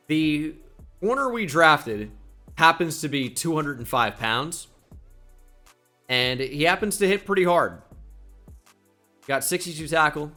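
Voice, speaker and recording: Young guy, slight jersey accent, chatty game commentary, bright forceful voice. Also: fairly high pitch. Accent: slight New Jersey. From New Jersey. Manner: chatty, musing aloud, self-deprecating asides. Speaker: gaming YouTuber.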